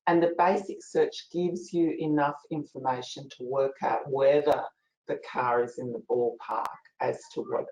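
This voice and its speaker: A soft-spoken woman presents a legal information webinar, her voice low and quiet. She talks in a chatty, informal way with plenty of fillers. The sound comes through an echoey video call. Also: fairly even intonation, a little slow. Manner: chatty, with fillers and a ready laugh.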